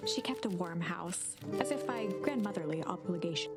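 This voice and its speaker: A woman playing a formal, measured storybook narrator who addresses the reader with a coy, theatrical air. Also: lowish voice, animated, lightly acted. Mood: calm storytelling. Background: guitar music.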